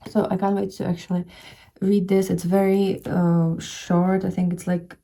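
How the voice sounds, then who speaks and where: A woman's voice: medium pitch, flat delivery, normal speed, vocal fry, dark tone. A young woman with a Czech accent, chatting in a book vlog, rambling with many fillers and restarts. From Czech Republic. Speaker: book vlogger. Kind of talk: casual reading vlog chat. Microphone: camera showing only her hands.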